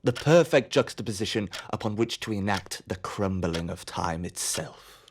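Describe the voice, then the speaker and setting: A man's voice: on the low side, expressive, moderate pace, a little hiss or hum. A man giving scripted audio drama narration in a wry, theatrical manner.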